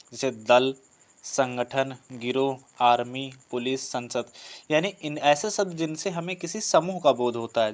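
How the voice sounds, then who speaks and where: A man teaching a grammar lesson, explaining step by step with repetition, listed examples and rhetorical questions. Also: medium pitch. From India. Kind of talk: online exam-prep grammar lesson.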